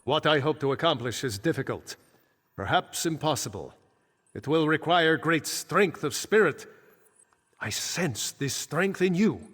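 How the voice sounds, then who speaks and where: An actor playing a solemn ancient archmage back from death, speaking gravely and formally in fantasy video game dialogue. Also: male voice, on the high side, expressive, measured pace, a bit bright, full character voice. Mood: solemn, urgent. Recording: echoing game hall with long reverb.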